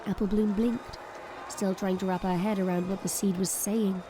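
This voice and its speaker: A woman's voice: mid-range voice, little pitch movement, normal speed. A woman narrating a scripted fantasy story as its narrator. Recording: clear background noise and ambience.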